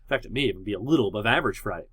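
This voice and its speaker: A man working as a TV meteorologist, hoarse from a cold and sore throat, walks through the weather in a loose, unscripted way with frequent pauses and restarts. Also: on the low side, lively intonation, a bit bright, no echo. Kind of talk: casual weather forecast video.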